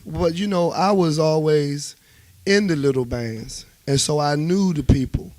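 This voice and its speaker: A man's voice: a little high, unhurried, darkish tone, no room sound. Laid-back man chatting in a dry studio. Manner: informal, conversational, peppered with "you know". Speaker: interviewed go-go musician. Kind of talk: casual interview chat, reminiscing. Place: radio studio.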